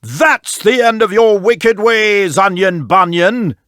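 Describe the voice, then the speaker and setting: A man's voice: a little high, huge pitch swings, slow pace, dry recording, heavily acted. A man reading a storybook and voicing a worried, indignant king, close to his own voice. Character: worried, angry king, middle-aged man.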